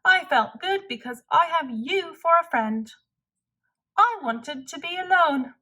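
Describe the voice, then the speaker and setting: Woman voicing a high, contented storybook frog. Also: lively intonation, sharp tone, full character voice. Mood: happy, reassuring. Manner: earnest, reassuring, unhurried. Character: contented, gentle male frog, thoughtful friend.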